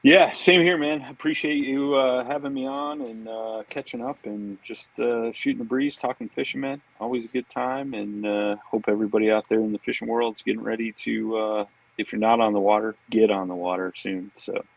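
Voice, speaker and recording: A man with a rough-edged, husky voice at an average pitch. He talks as a podcast guest in a casual, easygoing way, like chatting with an old buddy. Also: steady tone, normal speed, hardly any pauses, no echo, low-quality recording. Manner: easygoing, affable buddy talk. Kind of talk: casual chat.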